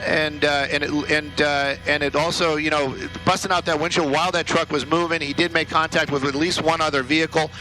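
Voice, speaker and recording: A fairly high-pitched American man delivers live breaking news commentary as a reporter in the field. His phrasing is halting and repetitive, improvised as he watches. Music plays faintly behind him. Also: fairly even intonation, pushed and bright.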